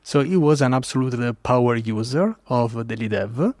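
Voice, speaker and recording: A man from Italy with an Italian accent, telling a story as a podcast guest in an animated way with many fillers. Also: mid-range voice, moderate pace, dry recording. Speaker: guest developer advocate. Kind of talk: casual podcast interview.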